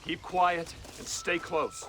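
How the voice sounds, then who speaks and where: An actor playing a stern, officious government agent in a video game, curt and threatening. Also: male voice, high voice, slow pace, background noise. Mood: tense, wary. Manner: curt, superior, deferential to his boss. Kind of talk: video game cutscene acting.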